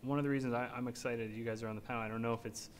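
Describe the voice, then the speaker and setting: A man's voice: medium pitch, fairly even intonation, very fast, a little hiss or hum. A man moderating a conference panel from a podium, eager and searching for words. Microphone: podium mic.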